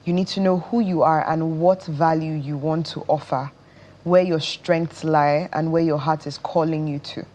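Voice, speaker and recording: Female interview guest, Nigerian accent, low voice, earnest and deliberate delivery. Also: steady tone, a little mellow. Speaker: interview guest, stage performer. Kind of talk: television interview.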